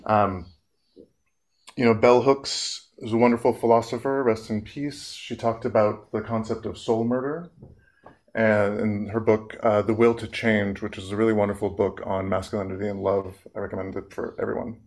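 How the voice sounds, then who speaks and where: A man speaking as a therapist in an earnest, measured public talk, pausing often and using fillers. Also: lowish voice, level tone, darkish tone.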